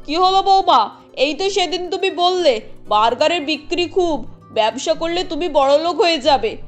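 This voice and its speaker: Woman putting on a scornful high-pitched mother-in-law. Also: animated, moderate pace, faint music behind. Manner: taunting, sarcastic. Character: sour, scornful older mother-in-law in a family cartoon.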